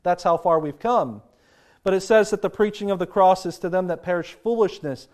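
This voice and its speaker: A man preaching a sermon, sounding congested and nasal from a sinus infection, slowing to stress key phrases. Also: high-pitched, darkish tone.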